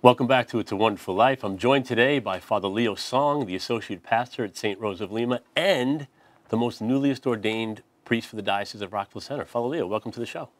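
A man's voice: medium pitch, brisk, soft background music. A middle-aged man, a priest hosting a TV interview show in a studio, reading a scripted intro and then asking conversational questions with small restarts, on a lapel mic. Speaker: priest host.

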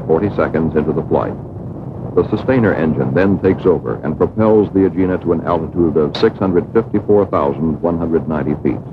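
Deep-voiced American man narrating an old film. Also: noisy background. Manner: measured, formal delivery. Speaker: documentary narrator. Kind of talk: scripted educational film narration. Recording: muffled, worn 1960s film soundtrack.

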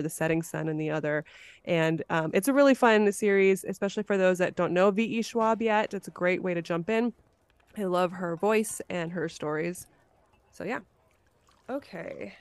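A woman's voice: fairly low pitch, normal speed, smooth, no echo. A woman hosting a podcast in a casual, conversational style. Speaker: podcast host.

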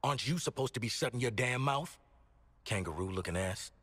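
An actor playing a proud, exasperated assassin in a video game, sarcastic and no-nonsense, with an American accent. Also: male voice, mid-range voice, expressive, a little fast, fairly bright tone, slightly rough. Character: proud, exasperated male assassin, irritable and hard-nosed. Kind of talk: video game cutscene acting.

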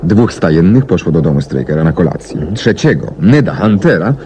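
A methodical detective with a low voice and lively, swinging intonation. He lays things out deliberately and with assurance, as in a 1970s radio play. The old recording is noticeably noisy. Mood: methodical, intent. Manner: measured, deliberate storytelling with emphatic swings.

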